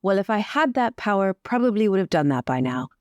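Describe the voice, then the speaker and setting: A woman's voice: medium pitch, very animated, a little fast, no echo, natural voice. A woman playing a wry, resigned noblewoman in an improvised roleplay, with a sardonic delivery. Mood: resigned, disdainful.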